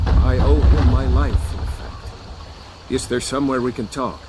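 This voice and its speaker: An actor playing a steady, loyal male rebel soldier in a video game. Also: mid-range voice, unhurried, very noisy, close to an everyday voice. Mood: relieved, guarded. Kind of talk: scripted video game acting. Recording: loud music over dialogue. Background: loud game music.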